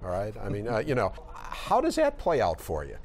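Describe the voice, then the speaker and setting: A man's voice: on the low side, huge pitch swings, normal speed, a little mellow, a little hiss or hum. A man hosting a studio TV interview, asking questions conversationally. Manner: conversational, prompting with short acknowledgments. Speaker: TV host.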